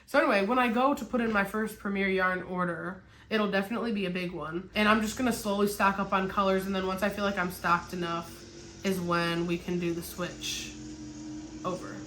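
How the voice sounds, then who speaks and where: Young woman rambling cheerfully to camera, stuffy nose, room echo, mid pitch. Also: steady tone, fairly quick, a bit bright, slight background noise. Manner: chatty, rambling, upbeat, frequent "like" and "um". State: congested from a cold. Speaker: small business owner and crochet vlogger. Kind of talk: casual chat to camera. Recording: echoey room.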